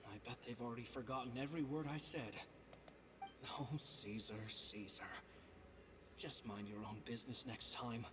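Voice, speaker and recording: A man's voice: medium pitch, fairly even intonation, normal speed, background noise, lightly acted. An actor playing a kindly, self-deprecating magician who muses aloud as if reading his letter, in a narrated video game scene. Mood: self-chiding. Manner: earnest, musing, gently worried.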